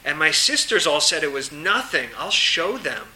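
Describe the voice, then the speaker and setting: A man's voice: fairly high pitch, big pitch swings, normal speed, bright, forceful tone, a little hiss or hum, full character voice, poor audio. A man voicing a small female mouse in a storybook tale, curious and timid yet determined, with a questioning manner.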